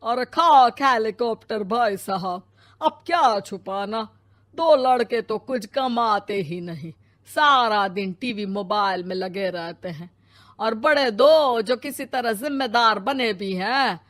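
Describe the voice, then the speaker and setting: A woman voicing a grumbling, hard-up neighbour man in a cartoon story, complaining in a drawn-out way. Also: fairly high pitch, animated, a bit bright, no echo, studio quality. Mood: bitter, resigned.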